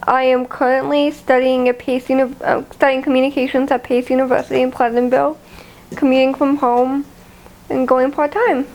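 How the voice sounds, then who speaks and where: High flat-toned teenage woman. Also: rough recording. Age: late teens. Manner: quick, with self-corrections. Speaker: college student. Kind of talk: self-introduction to camera.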